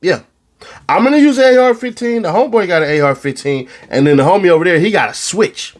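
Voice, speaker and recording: Animated, slangy man with a raised pitch. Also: fast talker. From California. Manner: animated, slangy, swears freely. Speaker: online commentator. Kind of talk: casual commentary on a trial.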